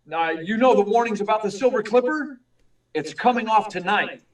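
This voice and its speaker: A man's voice: high voice, lively intonation, normal speed, recorded from a distance. A man playing an earnest, urgent young newspaper reporter in a 1940s-style radio drama. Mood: eager, pressing. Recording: echoey room, away from mic.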